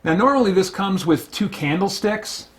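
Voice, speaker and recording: Man with a slightly high voice, thinking aloud. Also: expressive. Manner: thinking aloud, halting, talking to himself. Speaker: host playing a solo tabletop game. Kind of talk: casual unscripted game play commentary.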